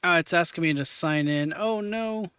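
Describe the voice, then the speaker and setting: A man in his twenties hosts a stream in a loose, casual way. His voice is smooth and a little high, recorded dry and close. He trails off and fills gaps with "um" and "like" as he clicks around. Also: pushed and bright, no room sound. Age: 20s. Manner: halting, many fillers while working on screen. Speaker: streamer host. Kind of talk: casual chat.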